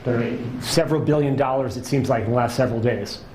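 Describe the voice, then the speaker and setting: A man asks press questions as a reporter, quick and a little halting. He is recorded in an echoey space with faint background noise. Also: medium pitch, darkish tone.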